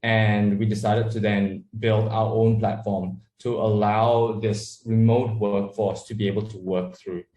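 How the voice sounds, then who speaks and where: A man who was born in Malaysia and raised in Australia, a startup founder answering interview questions in a relaxed, rambling way with many fillers. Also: on the low side, unhurried, hardly any pauses. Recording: echoey room.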